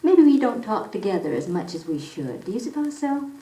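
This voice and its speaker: A gentle mother in a vintage radio soap, acted by a woman, with a soft-toned mellow voice. She is musing and affectionate, heard in a roomy old record. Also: medium pitch, big pitch swings, fairly quick, close to an everyday voice. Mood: tender, musing. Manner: tender, musing, coaxing. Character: gentle, reflective, affectionate mother of a young girl.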